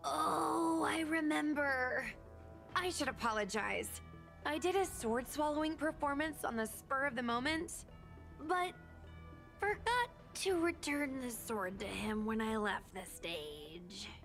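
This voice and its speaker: A woman's voice: sits high, takes it slowly, fairly bright tone, faint music behind, full character voice. A woman playing a bubbly, naive young female street performer in a video game, chatty and guileless. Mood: sheepish. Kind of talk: scripted video game voice acting.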